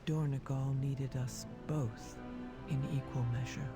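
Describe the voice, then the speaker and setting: An earnest leader of a stone people in a fantasy game, heavy with feeling. She speaks slowly and with care, and music plays behind her. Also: extremely low pitch, steady tone. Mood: somber reflection. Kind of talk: dramatic game voice acting.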